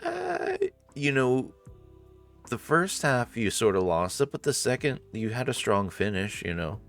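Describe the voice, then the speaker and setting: A man's voice: mid-range voice, huge pitch swings, a little slow, vocal fry, a bit bright, faint music behind. A man narrating a visual novel as a storyteller, with casual commenting asides.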